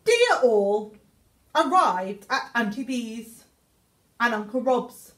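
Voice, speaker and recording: A woman's voice: on the high side, sing-song, slow, fairly bright tone. A woman reading a children's picture book aloud in an exaggerated, theatrical way, as a young meerkat writing letters home. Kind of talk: expressive children's story reading. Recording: echoey room.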